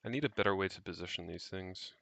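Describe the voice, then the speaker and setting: Male programmer streamer, lowish pitch with vocal fry, halting and muttered, casual work-along commentary. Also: a bit bright, no echo. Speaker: programmer streaming own project. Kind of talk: casual think-aloud coding commentary.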